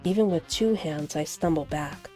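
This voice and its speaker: A woman reading a visual novel aloud as expressive narration, in the manner of a game player acting out a story. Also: deep voice, normal speed, soft background music. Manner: acts out character lines while narrating.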